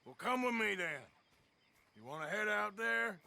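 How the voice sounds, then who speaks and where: An actor playing a gruff, sardonic outlaw of the American West in a video game, drawling in an American accent. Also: male voice, high-pitched, expressive, measured pace, bright, forceful tone, heavily acted. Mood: stern, wry. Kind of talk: dramatic video game cutscene acting.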